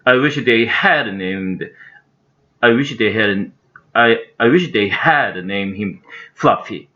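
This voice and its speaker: A man voicing a grumpy house cat who mutters slowly and glumly. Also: fairly low pitch, expressive, sharp tone, heavily acted. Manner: muttering, slow and glum. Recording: dull lo-fi recording.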